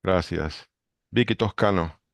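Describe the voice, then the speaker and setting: A man hosting a book club video call, briskly greeting each member. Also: deep voice, creaky, no room sound. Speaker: book club director and host. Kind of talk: calling roll on a video call.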